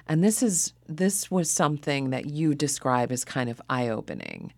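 A man's voice: fairly high pitch, big pitch swings, a little slow, gravelly, no echo. A man hosting a radio interview, asking questions in a conversational style.

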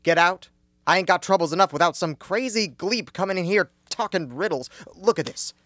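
A young man acting a gruff, impatient American baseball boss who barks slangy, brusque lines in a radio comedy. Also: high-pitched, lively intonation, fairly quick, a bit bright, no room sound, clean studio sound. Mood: angry. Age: young adult.

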